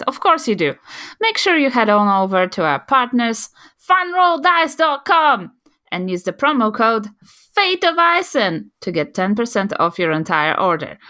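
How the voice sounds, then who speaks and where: Lively bright New Zealand woman host. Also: medium pitch. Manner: lively and playful, reading promos with energy. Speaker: podcast host. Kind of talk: upbeat show intro and recap.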